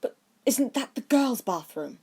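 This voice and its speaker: A woman's voice: a little high, big pitch swings, a little slow, dark tone, breathy edge, pitched above the performer's own voice, full character voice. A woman voicing a haughty, privileged schoolboy wizard with a snide, flippant manner in an audiobook. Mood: wary.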